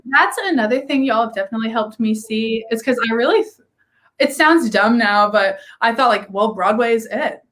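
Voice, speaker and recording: Young woman, singer client, candid and modest, slightly high pitch. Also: normal speed, fairly bright tone. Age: young adult. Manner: candid, self-deprecating, laughs often. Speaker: singing client. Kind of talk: casual interview.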